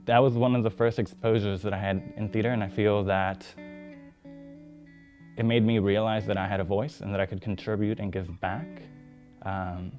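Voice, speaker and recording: Even-toned young man with lowish voice. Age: young adult. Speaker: actor and former theatre intern. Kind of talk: sincere reflective documentary interview. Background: soft guitar music.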